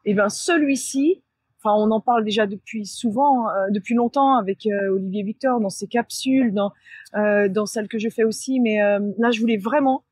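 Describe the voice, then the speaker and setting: Woman, fairly high voice, husky edge, dry close sound, casual explanatory vlog, halting phrasing. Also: dry recording. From France. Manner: conversational, explanatory, halting with frequent self-corrections. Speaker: channel host and spiritual coach. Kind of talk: casual vlog talk to viewers. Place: outdoors beside a large tree near a road.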